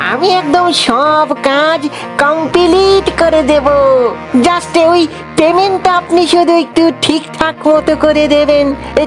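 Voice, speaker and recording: Cartoon fox lead: woman playing naive, excitable lazy fellow, high whiny chatter, music underneath. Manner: chattering, repeats words eagerly, whiny. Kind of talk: Bangla cartoon voice acting.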